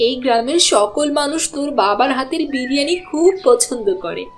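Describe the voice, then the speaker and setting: A woman's voice: sits high, lively intonation, brisk. A woman voicing a caring village wife and mother in a homely, indulgent cartoon style. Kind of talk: cartoon dialogue voice acting. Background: background music.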